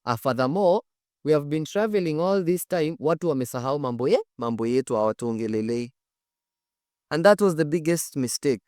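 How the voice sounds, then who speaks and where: A man's voice: a little high, lively intonation, brisk, darkish tone, no echo. Kenyan man telling a story, dry studio sound. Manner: conversational, emphatic, repeats phrases for effect. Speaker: storytelling host. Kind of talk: casual dramatic storytelling to camera.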